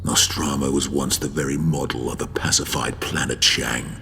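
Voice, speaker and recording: A man voicing a cold, brooding, menacing superhuman warlord with grim, deliberate delivery in a dramatic audiobook. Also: very deep voice, steady tone, creaky, sharp tone, a little hiss or hum, voice pushed down, heavily acted. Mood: cold, bitter. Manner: grim, deliberate, pronouncing doom. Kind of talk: dramatic audiobook character acting. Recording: long hall reverb, music behind.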